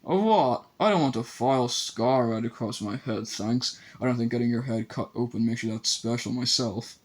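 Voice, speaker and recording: A man's voice: mid-range voice, moderate pace, natural voice. A man doing a sneering schoolboy bully. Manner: sneering, scathing. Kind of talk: audiobook character voice.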